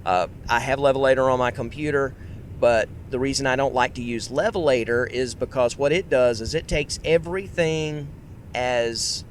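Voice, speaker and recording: A man hosting a casual solo podcast, talking in a rambling, self-correcting way and projecting his voice. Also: moderate pace. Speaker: podcast host. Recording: faint road rumble underneath. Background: road noise.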